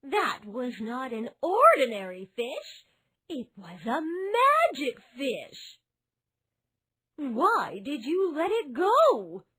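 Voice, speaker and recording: A man voicing a greedy, nagging old wife in a storybook, in a shrill scolding falsetto. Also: wildly swooping pitch, extremely slow, bright, forceful tone, full character voice. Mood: angry.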